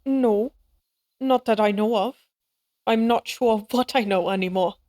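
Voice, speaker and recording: A woman's voice: medium pitch, a little slow, darkish tone, close to an everyday voice. A woman acting in an audio roleplay as an anxious, tender young mother who speaks hesitantly and wistfully. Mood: sad, confused.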